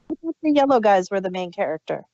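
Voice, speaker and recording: A woman's voice: mid-range voice, very animated, fairly quick, mellow, dry recording. A woman chatting casually as one of the hosts of a podcast. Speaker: podcast host. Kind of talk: casual chat. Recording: dull lo-fi audio, dry.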